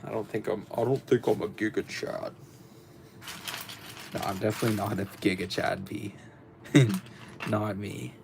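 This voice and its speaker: Gravelly deep-voiced young man. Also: steady tone, a little slow, pauses often, background noise. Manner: rambling, hesitant, many fillers and restarts. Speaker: livestream host. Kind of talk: casual livestream chat while building.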